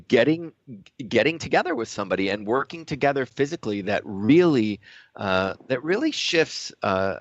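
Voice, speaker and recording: A man's voice: lowish voice, no echo. Male talk radio co-host, mid-range voice, reflective and conversational, searching for words, dry slightly lo-fi recording.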